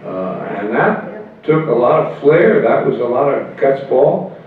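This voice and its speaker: An older man, a politician guest, telling wry anecdotes in a relaxed conversational way at a convention. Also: medium pitch, unhurried, slight background noise. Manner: wry and anecdotal, chuckles at his own jokes. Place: hotel meeting room at a convention. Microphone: table mic on a stand, heard from far. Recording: echoey room, far, phone-like thin sound.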